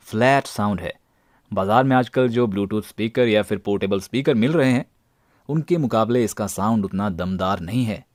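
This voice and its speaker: An Indian man narrating a gadget review as its presenter, with a deadpan, tongue-in-cheek delivery. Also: mid-range voice, no room sound, studio quality.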